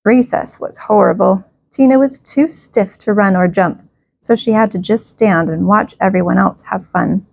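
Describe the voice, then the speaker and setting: A woman reading a children's bedtime story aloud, expressively and with emphatic, drawn-out phrases. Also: medium pitch, vocal fry, a little mellow, no echo.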